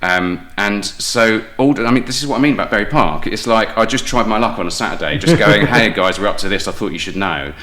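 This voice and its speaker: Deep, bright-voiced British man telling stories. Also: brisk, few pauses. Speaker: guest playwright and audio drama maker. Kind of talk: casual enthusiastic interview storytelling.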